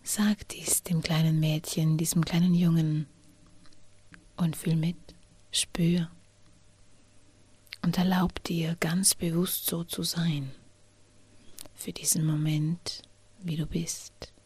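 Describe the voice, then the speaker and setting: A woman guides a slow meditation, half her words breathed out as a soft whisper. Her voice sits a little low and sounds husky, captured dry and close with no room around it. She lets long pauses fall between short, gentle phrases. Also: little pitch movement, no echo. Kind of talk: guided inner-child meditation.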